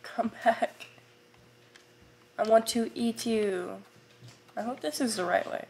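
Raspy, hoarse young woman gamer. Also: fairly high pitch, slow. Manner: excitable, squealing at the game, chatty. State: hoarse, getting over a throat illness. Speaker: gaming YouTuber. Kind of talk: casual let's-play commentary.

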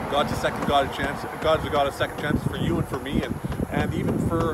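A man in his forties giving an informal, reflective devotional message to camera like a pastor, in a halting, earnest way. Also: mid-range voice. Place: outdoors beside a road and small wooden chapel. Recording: outdoor recording with loud vehicle noise. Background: loud outdoor ambience, passing vehicles.

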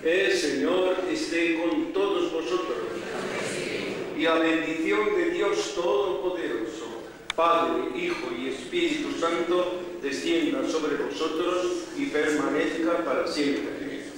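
An older male priest leading prayers and blessing in a slow, solemn liturgical manner. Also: a little high, crowd noise. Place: large church altar, indoors. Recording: echoey church from far off with noise.

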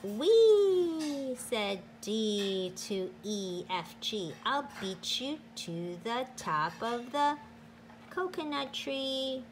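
A woman teacher reading a picture book aloud to children in a deliberate, sing-song, emphatic way. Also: fairly high pitch, animated, a little hiss or hum.

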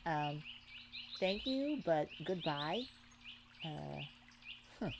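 A woman's voice: deep voice, extremely slow, creaky, background noise, full character voice. A woman playing a kind, protective, flustered young mage in a romantic ASMR roleplay, hesitant and stammering. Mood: nervous, flustered. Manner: hesitant, stammering, soft-hearted. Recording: steady outdoor birdsong ambience behind voice.

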